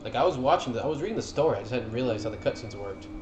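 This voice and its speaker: A man's voice: medium pitch, expressive, racing, noisy background. A man giving casual, informal commentary on game cutscenes as an enthusiastic fan presenting his favorites. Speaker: video creator presenting a favorites list. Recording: roomy echo with music behind. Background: game cutscene music.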